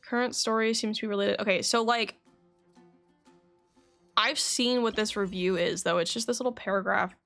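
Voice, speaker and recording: A woman's voice: medium pitch, fairly even intonation, normal speed, pauses often, a bit bright. Female game streamer: mid-range, casual and chatty, self-correcting read-aloud, faint music behind. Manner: chatty, stumbles and corrects herself when reading. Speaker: game livestreamer. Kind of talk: casual live game commentary, reading story text aloud.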